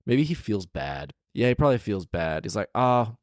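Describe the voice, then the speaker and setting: Male story podcast host, low register, dry close recording, loose sarcastic ad-libs around the reading. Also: sing-song, a little fast, darkish tone, dry recording. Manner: informal, sarcastic asides. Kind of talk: story reading with comedic ad-libbed commentary.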